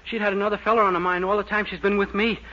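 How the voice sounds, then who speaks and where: An actor playing a naive, excitable young man in love, in an eager, comic 1940s radio sitcom style. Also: sits high, racing. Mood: flustered. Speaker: comedic lead, Dennis. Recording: noisy, dull old radio recording.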